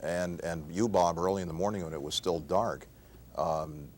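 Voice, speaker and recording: A man's voice: low voice, moderate pace, darkish tone. A man, a former night radio host, telling stories conversationally and acting out the dialogue. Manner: acts out quoted dialogue. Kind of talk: conversational storytelling.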